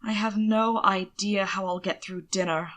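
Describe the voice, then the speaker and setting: An indignant young gentleman from a period storybook, played by a woman. The voice is bright and expressive, proper in phrasing yet easily tipped into giggles. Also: mid-range voice, moderate pace, lightly acted. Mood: amused.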